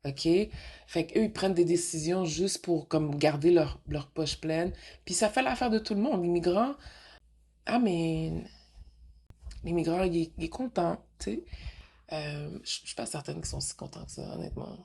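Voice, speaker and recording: Husky low-voiced Québécois woman host. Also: normal speed, mellow. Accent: Québécois French. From Quebec. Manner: rambling, switches into English mid-sentence; frank, rhetorical questions. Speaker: radio show host. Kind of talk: casual monologue.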